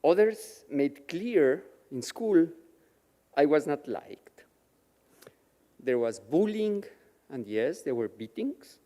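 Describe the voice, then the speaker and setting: Slow-spoken man from Mexico, reverberant chapel. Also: on the high side, big pitch swings, warm tone. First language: Yiddish. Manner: slow, measured, emotional storytelling. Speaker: guest speaker at a Shabbat service. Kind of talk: personal testimony speech. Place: synagogue chapel.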